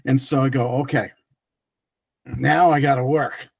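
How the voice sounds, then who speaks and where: A man's voice: medium pitch, steady tone, unhurried, creaky, slightly rough, no echo, clean studio sound. A middle-aged man whose first language is French, telling his career story as an interview guest with many restarts and fillers. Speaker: guest, tech entrepreneur.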